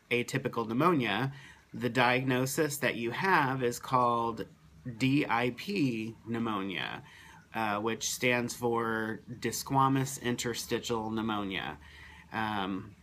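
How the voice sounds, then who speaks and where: A man speaking at an everyday middle pitch, recounting his health update in a plain, matter-of-fact way. He talks casually to the camera, pausing often with ums and uhs. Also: fairly bright tone. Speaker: vlogger giving a health update. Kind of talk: casual first-person account.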